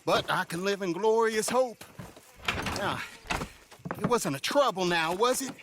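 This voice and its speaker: An actor playing a wordy, wry travelling doctor in a video game, with florid, pompous phrasing. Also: male voice, sits high, expressive, unhurried, background noise. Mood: grateful, chatty. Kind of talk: video game cutscene acting.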